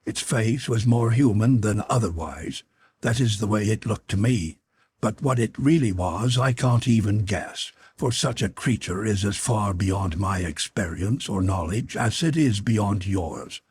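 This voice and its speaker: A man voicing a cool, authoritative expedition leader in an audiobook, measured and matter-of-fact. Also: on the low side, a little mellow, a little hiss or hum, close to an everyday voice. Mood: calm, reflective. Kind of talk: audiobook character voice.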